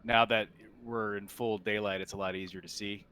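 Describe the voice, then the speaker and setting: A man acting as game master, improvising halting scene descriptions for a tabletop roleplaying game. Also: lowish voice, flat delivery, pushed and bright, a little hiss or hum.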